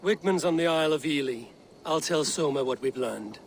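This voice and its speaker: A direct, resolute Norse warrior in a game cutscene. His voice sits slightly high for a man and stays terse, over faint ambient noise. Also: lively intonation, moderate pace. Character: steady, blunt male Viking warrior investigating a betrayal. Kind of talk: game voice acting.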